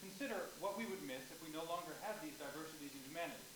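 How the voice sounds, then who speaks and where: A woman's voice: low voice, lively intonation, racing. A woman in a staged play reading, playing an earnest professor keen to explain ideas. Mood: insistent. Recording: very echoey room with clear noise.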